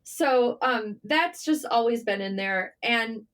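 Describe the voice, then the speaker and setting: A woman speaking as a writer guest in a casual, candid interview, informal and animated in manner. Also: on the high side, slow. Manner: animated, informal, swearing, self-deprecating.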